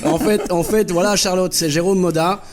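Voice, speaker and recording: A man's voice: high voice, steady tone, moderate pace. A man, guest on a radio show, talking casually through a prank call, rambling and repeating his phrases.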